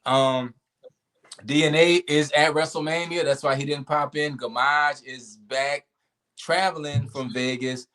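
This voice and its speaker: Easygoing male podcast host, a bit hoarse. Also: fairly high pitch, unhurried. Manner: laid-back, relaxed sign-off talk. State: losing his voice. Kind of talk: casual podcast chat.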